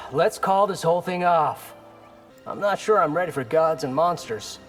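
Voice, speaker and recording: A man's voice: fairly high pitch, unhurried, creaky, soft background music. A man playing a defiant young martial artist hero in a video game, dry and sarcastic. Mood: wry, sarcastic.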